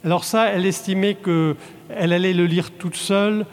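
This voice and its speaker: A man from Belgium, a translator, answering audience questions in a halting way with frequent restarts. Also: high-pitched, fairly even intonation. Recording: long hall reverb.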